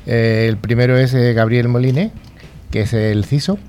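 Mellow-voiced radio host. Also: male voice, medium pitch, fairly even intonation, moderate pace, slight background noise. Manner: chatty, leading questions. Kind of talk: radio interview.

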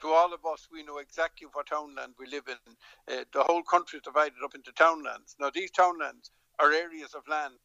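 A middle-aged Irish man with a gravelly, bright voice pitched a little high. He tells stories unhurriedly and stumbles over many hesitations and restarts. The sound is dry and a bit muffled, like a lo-fi call. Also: steady tone, brisk, dry recording. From Dublin, Ireland. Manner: warm rambling storyteller, frequent hesitations and restarts. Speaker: politician. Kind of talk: remote interview.

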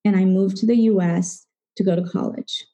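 A woman's voice: medium pitch, steady tone, normal speed, warm tone. A middle-aged woman from Zambia telling a personal story at a live event, reflective and posing rhetorical questions, acting out bits of dialogue.

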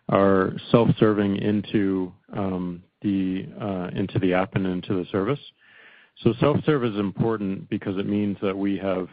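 A man with a low voice answers like an executive on a conference call. His phrasing is halting, with repeated words and pauses to think, and the sound is dry and thin, as through a narrow phone line. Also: no room sound. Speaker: company executive answering analysts. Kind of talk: earnings call remarks, unscripted.

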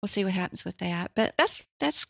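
Casting: woman in her 70s who sounds far younger, girlish voice with some fry, warm podcast-guest chat, dry and slightly dull recording. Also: mid-range voice, lively intonation, a little fast, no echo. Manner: soft-spoken, laughs often, gentle. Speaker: podcast guest, writer and editor. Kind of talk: casual interview chat.

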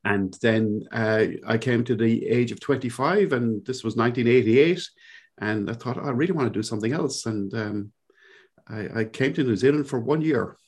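A man's voice: mid-range voice, normal speed. A man around sixty with a Cork Irish accent. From Ireland. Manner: reflective, rambling with fillers. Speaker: podcast guest. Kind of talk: conversational interview.

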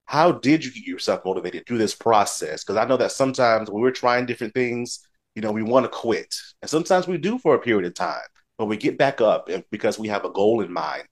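A man's voice: on the low side, expressive, fairly quick. A man hosting a motivational talk show, speaking conversationally in an encouraging, affirming manner.

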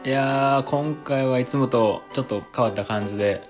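二十代の男性で、ラジオの相方として丁寧語で相づちを打ちつつ、ためらいがちに受け答えする。. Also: male voice, medium pitch, normal speed, soft background music. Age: 20s. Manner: polite, hesitant, frequent short agreeing replies. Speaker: radio show co-host. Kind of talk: casual chat, responding to host.

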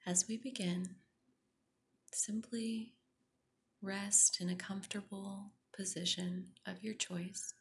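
Slow, whispery woman guiding meditation. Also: mid-range voice, flat delivery. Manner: soft, breathy near-whisper, soothing and gentle. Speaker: meditation guide, podcast host. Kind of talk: guided meditation.

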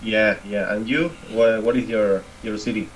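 A man in his twenties from Argentina with an Argentine Spanish accent, chatting casually and haltingly in English as a second language, often repeating words. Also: fairly low pitch, fairly even intonation. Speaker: English learner in conversation practice. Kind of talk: casual chat. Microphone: online voice call. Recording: noisy online call.

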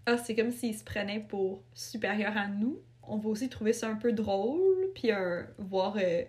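A young adult woman with a Québécois French accent, a writer chatting as a podcast guest, talking casually with frequent fillers and quick laughs. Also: mid-range voice, lively intonation, darkish tone.

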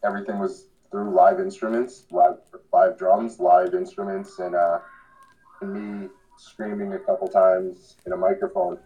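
A man's voice: low voice, expressive, moderate pace, gravelly, dark tone, lo-fi sound. A man giving casual, slangy interview answers as a podcast guest, earnest and rambling. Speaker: podcast guest, hardcore band vocalist.

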